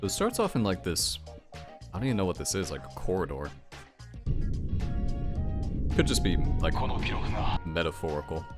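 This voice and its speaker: A man's voice: sits low, big pitch swings, normal speed, long pauses, music in the background. A man giving casual, rambling reaction commentary as the host of a gaming video.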